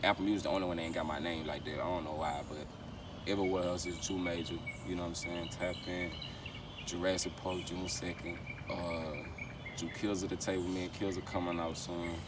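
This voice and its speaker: A man's voice: deep voice, flat delivery, a little fast. A man with a Louisiana accent chatting casually and slangily in an interview, often repeating his phrases. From Baton Rouge, Louisiana. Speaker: R&B singer and rapper being interviewed. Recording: distant mic, loud outdoor street noise.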